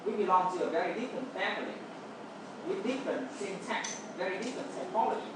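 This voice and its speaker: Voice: mid-range voice, lively intonation, fast. Echoey, distant Vietnamese-accented lecturer. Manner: checks understanding with "okay" and "right". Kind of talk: explanatory classroom lecture. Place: roomy indoor space, distant mic, noise behind. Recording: echoey room from far off, noisy.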